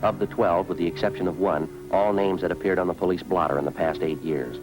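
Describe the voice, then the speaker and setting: An actor with an American accent playing a stoic, dogged police detective, terse and deadpan, in the style of fifties radio drama. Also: male voice, low voice, a little fast, music in the background, natural voice, rough recording. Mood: grim, matter-of-fact. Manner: terse, deadpan, matter-of-fact. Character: stoic, dogged male police detective, quietly worried for partner. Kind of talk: 1950s radio police drama, clipped dialogue and scripted narration.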